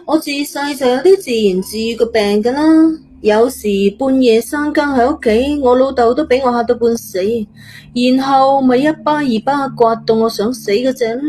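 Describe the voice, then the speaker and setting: A woman's voice: sits high, fairly even intonation, moderate pace, darkish tone, a little hiss or hum, natural voice. A woman voicing a brash, chatty, blunt teenage girl with a morbid streak, speaking colloquial Cantonese in an audio story. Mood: blunt, dark. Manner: cheeky, blunt, colloquial, muttering to herself.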